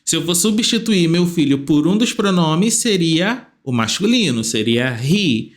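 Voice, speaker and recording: Male English teacher, slightly high voice, drawn-out patient delivery, repeats each phrase. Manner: repeats phrases slowly, stretching words, lively rising questions. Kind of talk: slow step-by-step grammar lesson.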